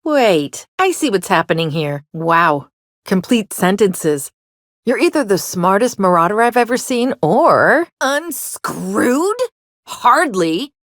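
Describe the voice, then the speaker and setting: A woman's voice: medium pitch, very animated, slow, a bit bright, no room sound, clean studio sound. An actress voicing a sardonic, flippant young woman who wants to be an outlaw in a video game, with a theatrical, wry delivery. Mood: exasperated, flustered. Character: sardonic, serial-obsessed young woman, would-be frontier outlaw. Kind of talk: video game character dialogue.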